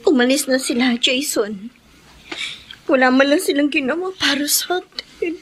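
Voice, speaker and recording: An actress playing a distressed, grateful older sister in a Filipino radio drama, halting and earnest. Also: female voice, sits high, faint music behind, natural voice. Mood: sorrowful. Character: grateful, distressed older sister who lost her home.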